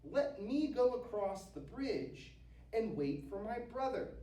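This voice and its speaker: Man as a tiny, high-voiced pleading billy goat. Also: sing-song, slow, warm tone, full character voice. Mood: pleading, sly. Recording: roomy, distant mic with faint noise.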